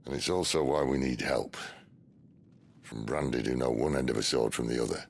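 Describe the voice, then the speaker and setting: A roguish, middle-aged British rebel leader from a video game. His voice is extremely deep and full of gravelly creak, dry and sardonic in an easy conversational way. A low rumbling noise sits faintly behind him. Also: moderate pace. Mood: frank, persuasive. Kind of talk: video game cutscene acting.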